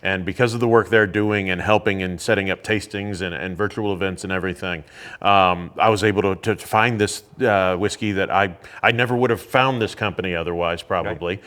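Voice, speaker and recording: A man who teaches about whiskey, explaining things in a relaxed, casual way with lots of fillers. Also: low voice, a little fast. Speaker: whiskey educator. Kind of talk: casual chat. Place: indoors at a teaching academy.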